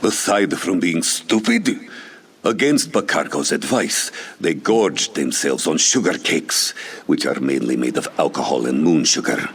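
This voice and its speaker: An actor playing a disdainful cat-person bard in a fantasy game, speaking haughtily and dryly. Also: male voice, rumbling low, lively intonation, unhurried, creaky, husky, full character voice. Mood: scornful. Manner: haughty, dry, refers to himself in third person. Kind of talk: scripted fantasy game dialogue.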